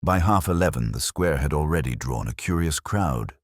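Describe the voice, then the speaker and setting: Very deep-voiced male mystery narrator. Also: lively intonation, normal speed, dry recording, studio quality. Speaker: narrator and reader. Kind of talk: scripted mystery audiobook narration.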